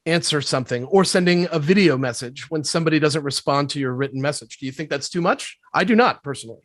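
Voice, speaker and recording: Host, a man with a slightly high voice, friendly, reads out questions. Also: animated, fast, fairly bright tone, dry recording, clean studio sound. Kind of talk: hosting a panel discussion.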